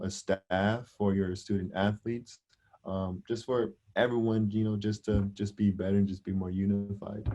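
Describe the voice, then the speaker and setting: A man who coaches a college team, answering sincerely in an interview with many halting pauses and fillers. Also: lowish voice, warm tone, highs cut off.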